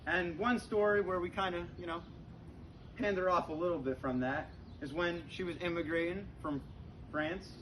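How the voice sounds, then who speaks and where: A young man telling stand-up comedy stories to an audience in a conversational, halting way, with pauses. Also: high voice, steady tone, fairly bright tone. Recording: very noisy live comedy recording with clicks. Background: audience laughter.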